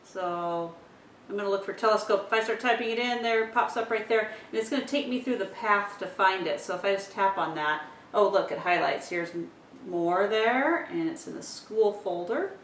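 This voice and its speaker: A woman's voice: animated, normal speed. A woman instructor talking parents through a communication-device demonstration, explaining each step aloud as she goes. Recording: roomy echo, distant mic, rumbly noise.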